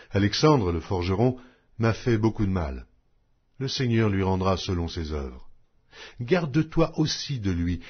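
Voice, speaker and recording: A man reading scripture from a script as an audio Bible narrator, in a solemn, declamatory manner with measured pauses. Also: fairly low pitch, breathy edge, dull audio.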